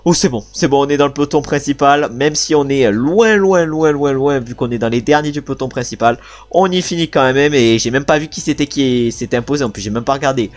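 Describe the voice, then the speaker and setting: A man's voice: medium pitch, fairly quick. A French man giving casual, chatty commentary while playing a cycling video game, with frustrated asides about his team leader. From France.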